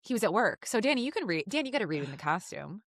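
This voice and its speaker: A woman's voice: medium pitch, sing-song, rapid-fire, no room sound, clean studio sound. A woman co-hosting a podcast in casual, friendly banter. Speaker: podcast co-host.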